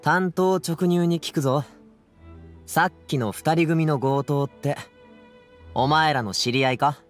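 A man's voice: fairly high pitch, measured pace, faint music behind, natural voice. An actor playing an earnest, direct young man in an anime game, speaking casually and bluntly. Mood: serious, direct. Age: young adult. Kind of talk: Japanese anime game dialogue.

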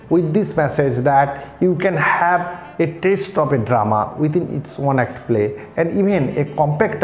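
A male lecturer with an Indian Bengali accent speaks in a fairly high voice at a very slow pace. His delivery is formal and deliberate, with halting phrasing, as in a scripted lesson. The room adds a clear echo around his words. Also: a little mellow. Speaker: English literature lecturer. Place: small bare-walled indoor room. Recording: echoey room.

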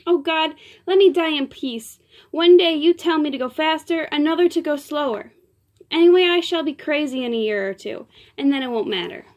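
A woman's voice: high voice, animated. A woman reading aloud voices a temperamental young actress who bursts into tearful, angry outbursts. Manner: tearful, pleading, quick to outbursts.

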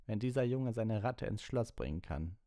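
A man's voice: on the low side, lively intonation, normal speed, warm tone, no room sound, studio quality, voice pushed up, natural voice. A man voicing a haggard fugitive, wry, defiant and urgent, in an audiobook. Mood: cool mockery.

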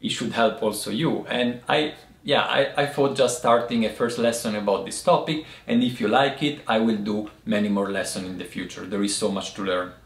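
Casting: thirties male teacher, strong foreign accent, gravelly tone, halting restarts, echoey room. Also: fairly low pitch, steady tone. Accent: foreign accent in English. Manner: halting, many restarts, gestures with hands. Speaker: tea teacher. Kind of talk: casual video lesson. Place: small room indoors by a lamp.

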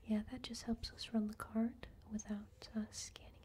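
A woman doing an ASMR roleplay as a cashier, talking softly and mostly in whispers, with friendly counter small talk, recorded at a table indoors. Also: a little high, flat delivery, moderate pace. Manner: soft-spoken, mostly whispering. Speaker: ASMR creator playing a cashier. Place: indoor room at a wooden table.

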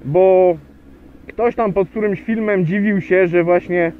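A man's voice: high-pitched, fairly even intonation, slow. A man riding a motorcycle, chatting casually to viewers with many hesitations and fillers. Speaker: motovlogger. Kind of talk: casual riding vlog narration. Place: on a motorcycle riding on a road outdoors. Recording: tinny lo-fi helmet mic with wind and engine noise.

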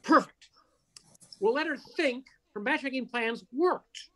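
A woman's voice: high voice, unhurried, sharp tone, heavily acted. An older woman playing a wisecracking, scheming male reporter in a radio play, breezy and wry, over a video call. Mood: sly, scheming. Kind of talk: old-time radio play acting.

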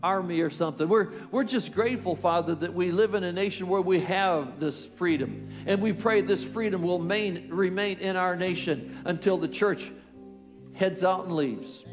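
A man's voice: high-pitched, moderate pace. An older man with a Southern US accent, a pastor leading a fervent prayer and sermon from a large church stage at a podium mic. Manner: fervent, repeats praise phrases. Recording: long hall reverb with music.

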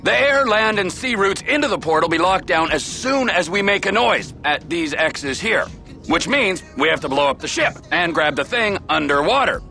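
An actor playing a volatile, crude middle-aged male criminal in a video game, mocking and domineering, swinging from mock-gentle to barking. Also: high-pitched, animated, moderate pace, vocal fry, faint music behind, exaggerated voice. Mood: businesslike scheming. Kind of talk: video game cutscene acting.

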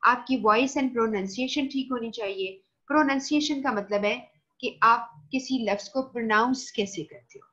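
A woman's voice: a little high, fairly bright tone, lo-fi sound. A woman lecturer with a Pakistani Urdu accent, speaking emphatically to her students and mixing in English phrases. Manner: emphatic, mixes in English, addresses students directly. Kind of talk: recorded lecture. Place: voice-over for screen recording.

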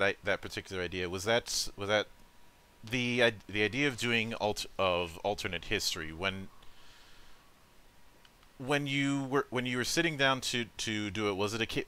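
A man's voice: fairly low pitch, pushed and bright, clean tone. A man hosting a live interview, often stammering and repeating his phrases as he goes. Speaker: show host.

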